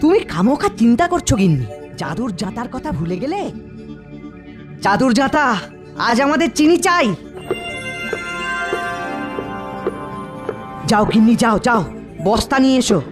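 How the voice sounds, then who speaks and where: A woman acts out a humble village husband in a cartoon folk tale. The voice is somewhat high, earnest and reassuring, full of simple hope. Music is clearly heard behind it. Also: very animated, fairly quick.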